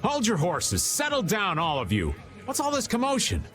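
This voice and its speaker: A man's voice: high-pitched, huge pitch swings, normal speed, fairly bright tone, full character voice. An actor playing a laid-back, wily older man in a video game, talking in a casual, folksy, theatrical way. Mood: exasperated. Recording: music under the voice. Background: game background music.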